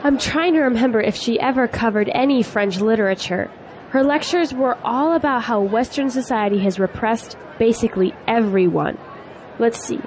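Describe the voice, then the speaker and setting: A woman's voice: fairly high pitch, moderate pace, a little mellow, natural voice. An actress playing a spirited young female graduate in an audio drama, teasing yet earnest. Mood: wry, opinionated. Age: young adult. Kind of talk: scripted audio drama dialogue. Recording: faint noise, outdoor crowd ambience.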